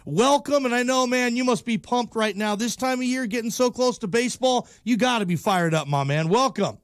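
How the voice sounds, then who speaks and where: High-pitched American sports radio host, a man. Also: fairly quick, dry recording, studio quality. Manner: enthusiastic, informal. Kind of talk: casual, slangy sports talk radio.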